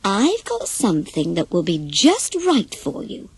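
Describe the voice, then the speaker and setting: A woman voicing a wise, patient little storybook hen who speaks in a polite, knowing, gently coaxing way. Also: mid-range voice, huge pitch swings, unhurried, husky, no room sound, full character voice. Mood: knowing sympathy.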